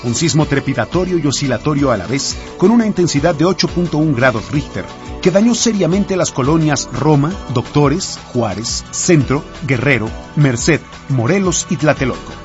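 A man's voice: a little high, animated, normal speed. A man giving formal, factual scripted narration for a historical radio segment. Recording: dull lo-fi broadcast, clear music behind. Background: clear background music.

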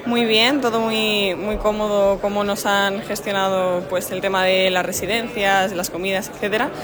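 A young woman university student from Spain speaking enthusiastically, with some halting restarts. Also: medium pitch, flat delivery, a crowd in the background. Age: young adult.

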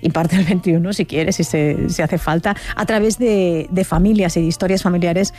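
A woman with an accent from Spain presenting a conversational radio interview in an effusive, expressive way. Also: fairly low pitch, normal speed. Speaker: radio show host.

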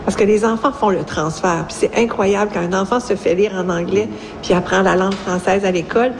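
A woman's voice: medium pitch, fairly even intonation, a little fast. A woman informally explaining things as an educator who advises parents. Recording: hall reverb, faint background noise.

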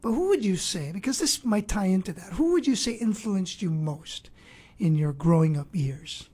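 A woman hosting an interview who asks gentle, probing questions. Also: medium pitch, lively intonation, moderate pace, breathy edge. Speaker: host.

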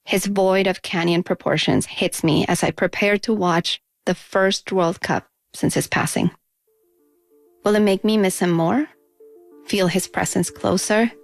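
A woman's voice: medium pitch, steady tone, a little slow, vocal fry, dry recording, faint music behind. A woman from the United States narrating a scripted personal essay as reporter, in a reflective, intimate storytelling manner.

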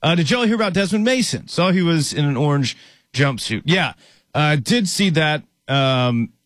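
A man co-hosting a casual sports talk show, reading viewer comments aloud in a relaxed, easygoing way. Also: a little high, moderate pace, no echo, clean studio sound. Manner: relaxed, easygoing, reads chat questions aloud.

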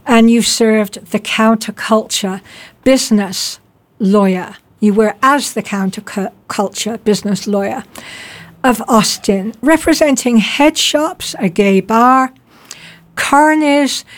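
Woman, radio host, studio interview, slow, fairly high voice, conversational.

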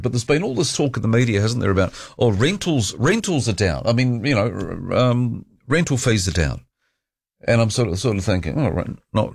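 Chatty low-voiced Kiwi radio host. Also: male voice, no echo, studio quality. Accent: New Zealand. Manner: rambling and chatty, restarts often. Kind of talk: casual talkback chat. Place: radio studio.

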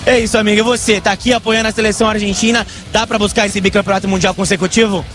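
A man reporting live from an outdoor street party, upbeat and quick. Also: high-pitched, flat delivery, over music. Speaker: field reporter.

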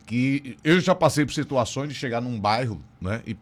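A man's voice: mid-range voice, animated, normal speed. A man from Northeastern Brazil with a regional accent, hosting a casual radio interview in an effusive, conversational way full of tag questions. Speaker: radio host.

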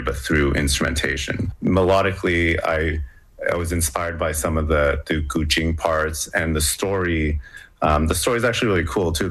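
A young man with an extremely low, deep voice talks about his music in a relaxed interview. He hesitates often, restarting sentences and filling pauses as he searches for words. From Hong Kong. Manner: hesitant, many fillers and restarts. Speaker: musician guest. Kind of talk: casual interview talk.

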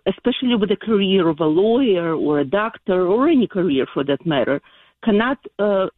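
Older-ish lady w eastern european accent calling radio on a tinny phone, husky, hesitant, polite. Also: mid-range voice, no echo. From Ukraine. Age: middle-aged or older. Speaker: radio show caller. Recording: tinny, dry phone line.

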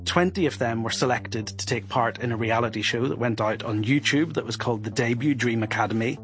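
A man, a guest reporter, explaining at length in a steady, informed way on a podcast. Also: medium pitch, brisk.

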